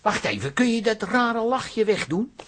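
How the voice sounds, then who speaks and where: An actress playing a bossy, brazen schemer in a radio drama, with a blunt, cajoling way of talking and a husky voice. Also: female voice, a little high, lively intonation, measured pace, slight background noise. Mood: bossy, teasing. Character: bossy, brazen schemer staging a fake advert photo. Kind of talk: Dutch radio drama acting.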